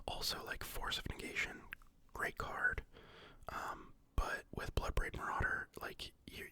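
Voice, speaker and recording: Breathy whispering ASMR explainer, sniffly from a recent illness, halting, full of fillers. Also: pushed and bright. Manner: rambling, halting, many fillers and restarts. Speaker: card game deck explainer. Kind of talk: whispered ASMR deck walkthrough.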